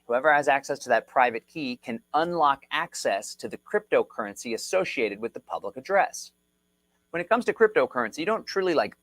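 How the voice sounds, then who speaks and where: Energetic livestream host, man, mid pitch, dry close sound, rallying and repetitive delivery. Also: no echo. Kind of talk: casual livestream explainer. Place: Texas.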